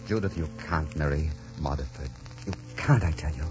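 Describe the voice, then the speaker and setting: An actor playing a bold, romantic adventurer captain in a theatrical 1940s radio drama style. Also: male voice, very deep voice, moderate pace. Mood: ardent, romantic. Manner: theatrical, ardent, declamatory. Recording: dull 1940s radio recording, music behind.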